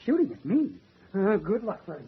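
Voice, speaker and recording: A man's voice: high-pitched, very animated, brisk. An actor playing a bold, curious male adventurer with theatrical, scheming banter in old-time radio style. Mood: wry, scheming. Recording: dull radio sound with background noise.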